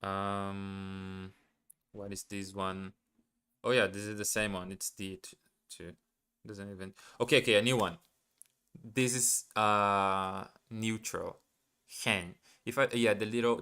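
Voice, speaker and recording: A man's voice: lowish voice, fairly bright tone, clear, resonant tone. A man whose first language is Portuguese, thinking aloud as he studies a language live, hesitant and correcting himself as he goes. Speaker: language learner streaming live. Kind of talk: thinking aloud while studying.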